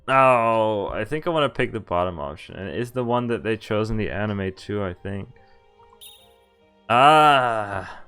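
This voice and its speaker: A man's voice: on the low side, animated, measured pace, pushed and bright, clear, resonant tone, soft background music. A man streaming a visual novel, thinking aloud about choices and reading the story text in first person. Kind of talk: live game commentary and reading text aloud.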